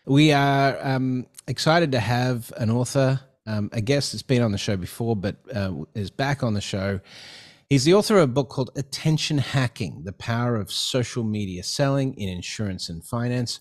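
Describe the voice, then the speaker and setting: A man's voice: medium pitch, moderate pace. A middle-aged man hosting a podcast interview over a video call, talking casually with many fillers and restarts. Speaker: podcast host. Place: home studio with neon sign. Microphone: headset and desk mic on video call.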